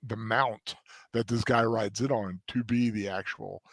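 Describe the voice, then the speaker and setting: A man co-hosting a casual review discussion, talking in a hesitant, halting way with many restarts. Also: on the low side, fairly even intonation, gravelly, a little hiss or hum. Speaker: co-host.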